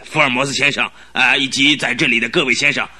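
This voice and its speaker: A flustered, bright-voiced old duke. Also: male voice, medium pitch, fairly even intonation, fast, no echo. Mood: grateful, curious. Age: 70s. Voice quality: flustered, full of exclamations and stammering. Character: excitable crafty old male duke, 78. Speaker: duke in a radio play. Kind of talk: 1970s Chinese radio drama acting.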